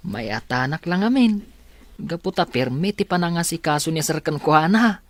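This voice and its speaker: Woman acting Ariel, a low-voiced Ilocano young student. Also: lively intonation, a little slow, no echo. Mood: fond, teasing. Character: young blind student Ariel, affectionate and admiring. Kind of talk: Ilocano radio drama acting.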